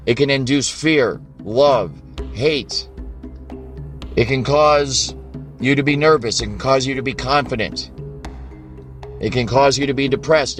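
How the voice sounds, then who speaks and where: A man of middling pitch speaks in an impassioned rant. He presses each point urgently and drops curses for emphasis. Faint music runs behind.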